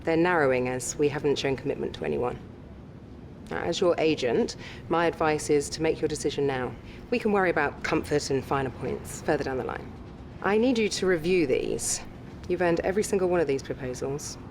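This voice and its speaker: An actress playing a composed, businesslike sports agent in a game cutscene, measured and reassuring as she advises a client. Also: low voice, slight background noise. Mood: composed, advising. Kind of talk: scripted game cutscene dialogue.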